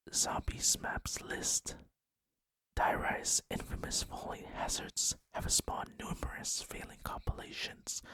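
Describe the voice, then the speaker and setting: A person narrating gameplay entirely in a breathy ASMR whisper, close to the microphone, moving between scripted facts and casual asides. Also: fairly bright tone. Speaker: gaming video creator narrating over gameplay. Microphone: close microphone, dry sound.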